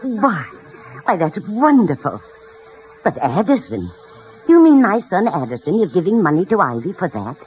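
A woman's voice: on the low side, sing-song, normal speed. An actress playing a feisty, plain-spoken old grandmother with wry wit in a vintage radio comedy. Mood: touched, surprised. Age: older. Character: feisty, wry old grandmother, candid mother of a snobbish son. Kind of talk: 1940s-50s radio comedy acting. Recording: muffled, hissy vintage radio recording.

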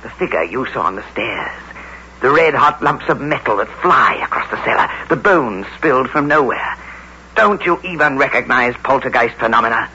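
A man's voice: mid-range voice, lively intonation, normal speed, sharp tone. An actor in an old radio drama playing a menacing husband who leads a witches' coven, commanding and coldly taunting. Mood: smug, mysterious. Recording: dull, noisy old radio recording.

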